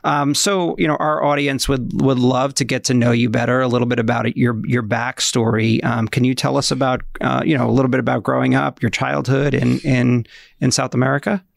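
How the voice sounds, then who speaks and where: A man's voice: medium pitch, fairly even intonation, brisk, creaky, dry recording, studio quality. A man hosting a podcast, encouraging his guest and using hesitant fillers. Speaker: podcast host. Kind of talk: casual interview chat.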